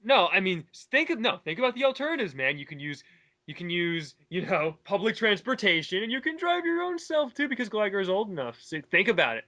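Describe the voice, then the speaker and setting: A man with a fairly high, sharp and forward voice delivers casual mocking commentary. He comes across as sarcastic and exasperated, pressing his argument as he goes. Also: sing-song, a little fast. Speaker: commentator reading and rebutting online comments.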